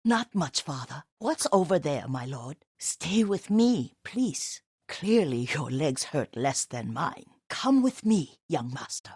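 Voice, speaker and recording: An actress playing an elderly, devoted caretaker and herbalist in a video game, gentle but firm, with a husky, breathy voice and a motherly manner. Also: low-pitched, big pitch swings, dry recording, clean studio sound, full character voice. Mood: gently urging. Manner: unhurried, softly instructive, motherly. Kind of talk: video game character dialogue.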